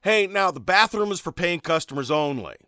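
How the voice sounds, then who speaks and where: Man playing a bright, brash wisecracking ghost hunter. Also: high-pitched, animated, brisk, clean tone. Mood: cocky, joking. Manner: cocky, joking, punchy. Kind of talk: audio drama acting.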